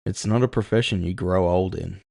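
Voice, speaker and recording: A man's voice: low voice, brisk, vocal fry, a little mellow, no room sound, clean studio sound. A man playing a brooding, world-weary cyborg gunman, introspective and full of self-doubt, in an audio story. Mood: resigned, reflective.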